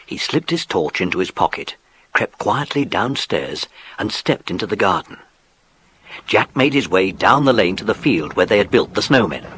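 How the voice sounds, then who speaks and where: A man's voice: fairly low pitch, fairly bright tone, breathy edge. A man narrating a children's story from a script, as in an audiobook drama.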